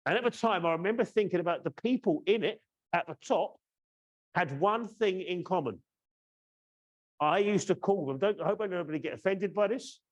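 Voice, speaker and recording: Chatty man in his fifties. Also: fairly high pitch. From United Kingdom. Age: 50s. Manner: chatty, teasing the audience, frequent "yeah?" checks. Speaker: course trainer and webinar host. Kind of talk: casual webinar presentation. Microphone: video call.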